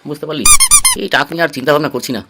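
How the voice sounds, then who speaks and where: A man's voice: a little high, racing, background noise, lightly acted. A man playing a glum, self-pitying balding friend in his thirties, grumbling plaintively in an amateur comedy cartoon. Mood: resigned.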